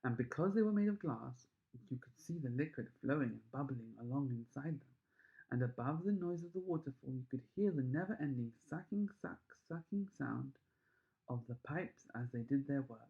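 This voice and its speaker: A man reading a children's storybook aloud with expressive, emphatic narration. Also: mid-range voice, normal speed, vocal fry, narrow, tinny audio. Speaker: story reader.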